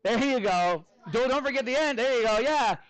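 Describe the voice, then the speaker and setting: A man with a high-pitched voice works as a teacher in front of his class. He talks informally and with animation, encouraging the students as he goes, and the sound is close and dry. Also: animated, moderate pace, no room sound, low-quality recording. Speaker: middle school math teacher.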